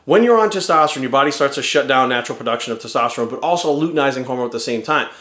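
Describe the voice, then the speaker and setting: A man in his forties giving an energetic, rambling testimonial to camera. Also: medium pitch, racing, roomy sound. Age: 40s.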